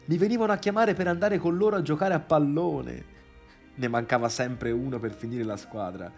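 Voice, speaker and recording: A man's voice: a little high, normal speed, gravelly, faint music behind. An actor playing an awkward, needy, wounded man in a theatrical monologue, with a Tuscan accent and hesitant, repetitive phrasing. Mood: wistful. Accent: Tuscan Italian. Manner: hesitant, stammering repetitions and questions. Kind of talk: theatrical monologue, halting one-sided dialogue.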